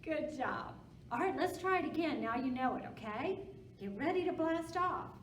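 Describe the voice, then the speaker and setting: A woman's voice: high-pitched, expressive, moderate pace. A woman presenting a storytime for young children and reading aloud, speaking simply and encouragingly. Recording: roomy echo, distant mic, background noise.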